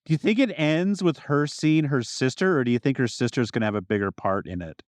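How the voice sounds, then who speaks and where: A man's voice: medium pitch, animated, fairly quick, no echo. A man co-hosting a podcast, talking through ideas in a casual, informal way. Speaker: podcast co-host.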